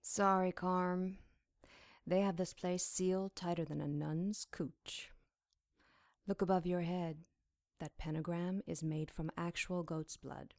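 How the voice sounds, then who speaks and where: A woman's voice: fairly low pitch, fairly even intonation, slow pace, gravelly, a little mellow, no room sound, clean studio sound. An actress playing a captive demon witch in an audio drama, sly, wry and defiant in a dryly mocking way.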